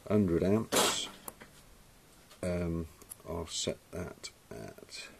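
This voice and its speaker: A man talks through a welding demonstration in a workshop, casual and matter-of-fact, calling people "mate". His voice sits very low with a rough, gravelly edge. The camera mic picks up clear workshop noise behind him. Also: sing-song, drawn-out words. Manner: chatty, matter-of-fact, says "mate" and "cheers". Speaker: welding instructor. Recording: noisy workshop camera audio.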